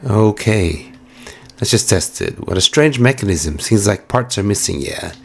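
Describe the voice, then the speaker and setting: A hoarse man giving casual, musing let's-play commentary with plenty of fillers while he plays. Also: on the low side, animated, a little slow, a little hiss or hum. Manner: musing, chatty, many fillers. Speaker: game streamer.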